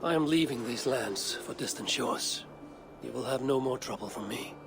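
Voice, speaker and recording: A man playing a proud Viking warrior lord in a historical game, gravelly, terse and wry. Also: medium pitch, moderate pace, lightly acted. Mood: resolute. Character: proud, restless Viking warrior lord, gruff but courteous. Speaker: lead character in a historical action game. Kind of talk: scripted game drama dialogue. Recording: music under the dialogue. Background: background music.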